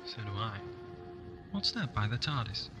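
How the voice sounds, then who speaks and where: An actor playing a wry, suspicious time traveller in a sci-fi audio drama, dry and brisk. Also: male voice, lowish voice, sing-song, music in the background. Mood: worried.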